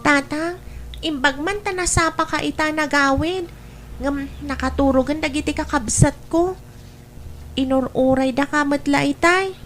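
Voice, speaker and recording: A woman's voice: sits high, a little slow, a bit bright, soft background music, low-quality recording. A woman playing a sad, dutiful child longing for a parent's attention in an Ilocano family drama, earnest and pleading. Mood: wistful.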